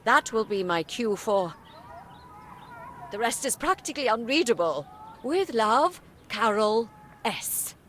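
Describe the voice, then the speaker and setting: A woman playing a composed, authoritative orphanage headmistress, measured and skeptical, in an animated adventure game. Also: fairly high pitch, a little hiss or hum, heavily acted. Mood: reading aloud.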